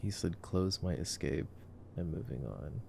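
A young man doing casual boyfriend-roleplay movie commentary for ASMR, in a soft, drowsy murmur with slangy phrasing. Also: very deep voice, lively intonation, measured pace, vocal fry, slight background noise. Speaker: ASMR voice performer.

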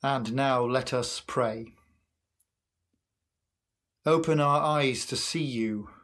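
An older man leading church worship and prayer in a solemn, measured manner. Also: medium pitch, a bit bright. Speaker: worship leader. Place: indoor room at home, in front of curtains.

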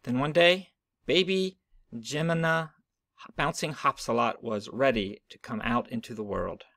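Male storybook narrator, even and gentle, dry home recording. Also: medium pitch, unhurried, dry recording. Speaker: reader of a children's book. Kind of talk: reading a picture book aloud.

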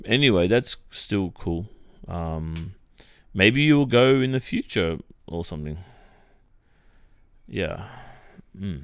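An Australian man playing an awkward, polite young college football captain in a boyfriend roleplay, speaking in a hesitant, halting way. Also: deep voice, lively intonation, clean tone, no echo, studio quality, full character voice. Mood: curious, friendly. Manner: hesitant, halting, with many pauses and soft awkward filler. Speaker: ASMR roleplay performer. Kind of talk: ASMR boyfriend roleplay, one-sided improvised-sounding dialogue.

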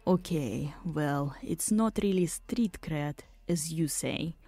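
A woman voicing a stern, commanding insect-bodied matriarch with a Russian accent who speaks low and with caution. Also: takes it slowly, mellow, dry recording, faint music behind, heavily acted. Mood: grave.

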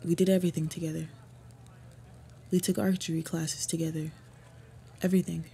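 A woman playing an earnest, devoted half-orc woman in a fantasy roleplay audio, speaking formally and softly with a breathy voice. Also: on the low side, level tone, brisk, a little hiss or hum. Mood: earnest, reminiscing.

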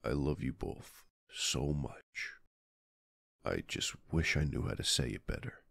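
A man in an ASMR roleplay playing a doting mafia boss husband and gentle father, speaking tenderly and soothingly with soft pauses. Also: very deep voice, animated, vocal fry, no room sound, studio quality, full character voice. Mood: touched, loving. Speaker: roleplay audio performer.

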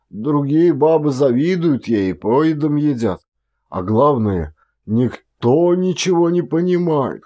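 A man's voice: medium pitch, darkish tone. A male actor voicing a talkative, wry village peasant who tells his tale in a folksy, exclamatory way, for an audiobook. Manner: folksy, exclamatory storytelling with drawn-out pauses. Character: garrulous rustic village peasant man, marvelling and wry. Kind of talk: dramatised audiobook monologue. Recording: music under the voice.

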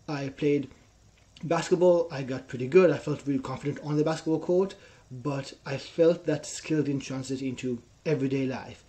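A young man with an Israeli accent talks through a headset from a small room at home, his voice mellow and on the darker side. He tells his story earnestly, a guest on a casual interview, tagging his sentences with a questioning "right?". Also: medium pitch. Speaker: guest, personal trainer.

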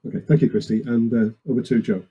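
Older man, dark husky voice, panel chair, video call. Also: medium pitch, fairly even intonation, quick pace, highs cut off. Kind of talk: moderating a question session. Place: indoor study, on a video call.

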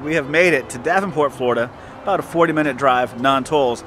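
A man's voice: mid-range voice, a little fast. Man, 40s, vlog host, self-filmed, upbeat travel chatter over background music. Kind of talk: casual travel vlog narration. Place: outdoors at a market and indoors in shops. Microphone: handheld camera at arm's length.

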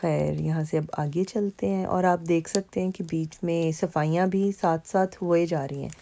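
A woman's voice: fairly low pitch, steady tone, moderate pace, gravelly, warm tone. A woman from Pakistan narrating her vlog in a casual, chatty way, with frequent fillers. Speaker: vlogger. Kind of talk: casual narration over mall footage.

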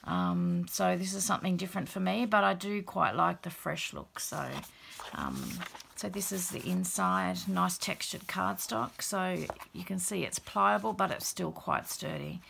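A middle-aged woman talks through her handmade journal at a craft table, her voice croaky and gravelly from illness, with a heavy fry and a lowish tone. She speaks in a relaxed, chatty way, full of soft fillers. A faint hiss sits under the recording. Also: unhurried. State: croaky after the flu. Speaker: craft channel host. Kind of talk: casual show-and-tell of a handmade journal. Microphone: overhead camera, hands only.

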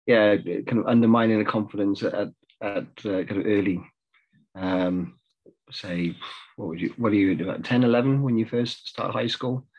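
A man's voice: on the low side, moderate pace, darkish tone, highs cut off. A middle-aged man from South Africa, a therapist, talking hesitantly with many fillers on a video call. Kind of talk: reflective group discussion on video call. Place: home room. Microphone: video call webcam.

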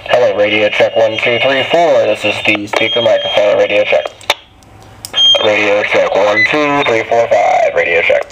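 A man's voice: on the low side, moderate pace. A man making clipped test calls, heard through a two-way radio speaker. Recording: crackly walkie-talkie audio, faint noise.

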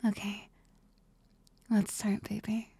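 A young woman playing an affectionate, doting girlfriend in an ASMR roleplay, whispering slowly and tenderly close to the microphone. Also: mid-range voice, completely flat delivery, dark tone, no echo, heavily acted. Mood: gentle. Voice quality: breathy, whispery. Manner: slow, tender, intimate. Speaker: ASMR performer.

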